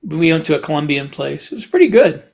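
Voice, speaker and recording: A man chatting casually as a podcast host, in a halting, rambling way with repeated words. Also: on the high side, very animated, gravelly, a little mellow. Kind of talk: casual chat.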